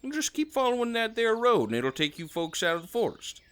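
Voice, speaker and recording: A man's voice: very high-pitched, big pitch swings, brisk, a bit bright, slight background noise, exaggerated voice. A man voicing a friendly, polite little frog-like creature with a folksy Southern US accent in an audio drama. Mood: helpful.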